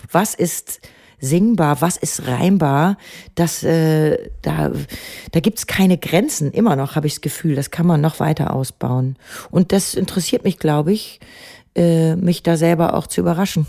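A middle-aged woman songwriter talking thoughtfully in a relaxed interview, often pausing to search for words. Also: low voice, vocal fry. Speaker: songwriter and musician.

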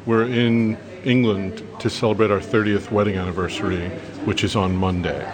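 A man from Brooklyn talks as a tourist. His voice is fairly low and his speech quick and thoughtful. Restaurant crowd chatter fills the background. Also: steady tone. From Brooklyn, New York. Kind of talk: casual interview. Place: busy restaurant.

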